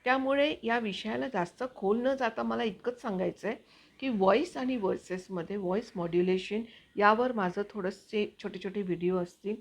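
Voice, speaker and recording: A woman hosting her own channel, chatting to viewers in a casual, explanatory way with hesitant pauses as she finds her words. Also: mid-range voice. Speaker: actor and video channel host.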